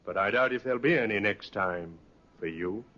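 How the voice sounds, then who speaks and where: A man's voice: on the low side, moderate pace, loud music behind the voice, natural voice. A man playing a stern, methodical police inspector in a 1950s radio drama, with dry, accusing sarcasm. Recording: dull vintage radio recording.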